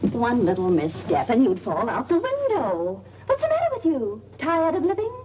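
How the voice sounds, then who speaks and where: A woman's voice: a little high, wildly swooping pitch, normal speed, a little hiss or hum. An American woman playing a fretful, scolding middle-aged mother in a 1940s film, fussy and exclamatory. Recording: dull 1940s film soundtrack with loud music.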